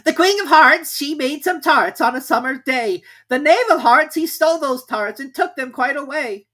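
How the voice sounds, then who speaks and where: Very high, shrill rabbit herald. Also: lively intonation, normal speed. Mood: loud proclaiming. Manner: loud, urgent proclaiming.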